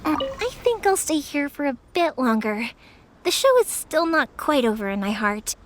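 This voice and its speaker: A woman voicing a cheerful, warmhearted young fireworks maker with a big-sister air in a video game, speaking in an expressive, earnest, friendly way. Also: high voice, a little slow, noisy background. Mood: proud, friendly. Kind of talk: animated video game dialogue.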